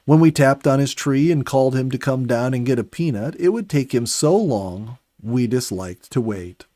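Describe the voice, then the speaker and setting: A man reading a storybook aloud as narrator, with an expressive, deliberate storytelling delivery. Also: medium pitch, dry recording, clean studio sound.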